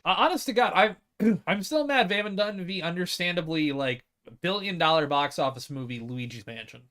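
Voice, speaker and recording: Higher-voiced man in casual podcast chat. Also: lively intonation, normal speed, fairly bright tone, slight background noise. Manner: sweary, informal. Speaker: podcast co-host. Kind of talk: casual group chat about films and games.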